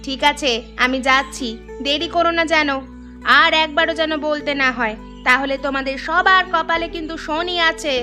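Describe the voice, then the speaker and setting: A woman acts a contented, no-nonsense village wife and mother in a cartoon. Her voice is sharp, bright and fairly high, caring even as she scolds, over music. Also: moderate pace. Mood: bossy. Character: firm, reassuring, contented village housewife and mother. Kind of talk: Bengali cartoon voice acting.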